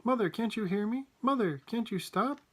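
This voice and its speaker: A reader voicing a frantic middle-aged mother in a children's story, shrill and exclamatory. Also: expressive, moderate pace, a bit bright, breathy edge. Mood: panicked.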